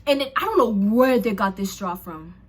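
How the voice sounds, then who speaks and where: A young woman from Haiti whose first languages were Creole and French, chatting casually to camera in an expressive, slangy way. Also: medium pitch, normal speed, husky. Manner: chatty and expressive, slangy, lots of fillers and exclamations. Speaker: vlogger. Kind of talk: casual storytime chat to camera.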